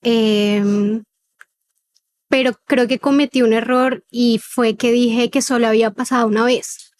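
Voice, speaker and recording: A young woman with a fairly high voice and a neutral Colombian accent touched by a paisa lilt. She speaks as an interview guest, conversational and a little hesitant, pausing as she goes. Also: fairly even intonation, darkish tone. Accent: neutral Colombian with a slight paisa lilt. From Cartagena, Colombia. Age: 20s. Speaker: podcast guest. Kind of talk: personal testimony in conversational interview.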